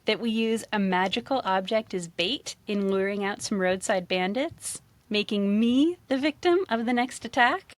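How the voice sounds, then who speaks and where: A woman's voice: medium pitch, moderate pace, hardly any pauses, no echo, close to an everyday voice. A woman reading the part of a sceptical young female shopkeeper in a fantasy story, wry and questioning. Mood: incredulous.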